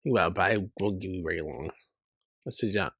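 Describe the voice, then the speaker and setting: Guy acting out story dialogue, bright forceful voice, really dry close sound. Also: sits low, quick pace, no room sound.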